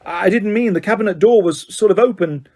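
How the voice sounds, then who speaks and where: Man playing a nervous breathless teen boy, raised pitch. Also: brisk, mellow. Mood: shaken. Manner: breathless, shaky. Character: shaken teenage boy wizard.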